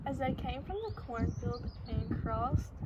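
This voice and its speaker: A young woman from Arkansas narrates a picture book in a fairly high voice. The recording is somewhat dull, with very heavy noise around her. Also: unhurried. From Arkansas, United States. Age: young adult. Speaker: storybook reader. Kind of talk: read-aloud of a children's picture book. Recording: very noisy, slightly dull and lo-fi.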